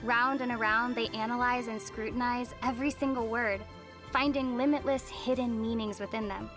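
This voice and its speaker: A woman voicing a composed young female traveler in a video game, gentle, measured and thoughtful, with a wry edge. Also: fairly high pitch, fairly even intonation, music in the background, close to an everyday voice. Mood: thoughtful, knowing. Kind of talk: video game character voice lines.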